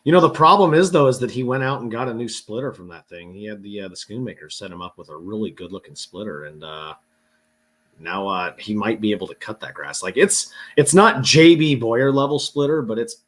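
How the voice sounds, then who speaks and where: A man's voice: fairly low pitch, animated, brisk, fairly bright tone. Congested man hosting a casual chat. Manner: easygoing, many fillers and restarts. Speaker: podcast host. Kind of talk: casual video-call chat.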